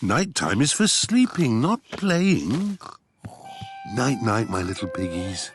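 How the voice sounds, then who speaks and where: A man voicing a fond, jolly father pig in a children's cartoon, speaking theatrically and gently with a British accent. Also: medium pitch, very animated, takes it slowly, over music. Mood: gentle, fond. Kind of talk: children's cartoon, bedtime story reading.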